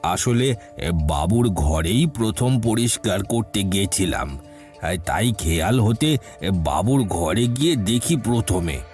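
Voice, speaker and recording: A man's voice: lowish voice, lively intonation, slow, a little mellow, soft background music. A man playing an anxious, deferential older household servant in an audio detective drama, pleading and stammering. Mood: nervous, evasive. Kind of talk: audio detective story acting.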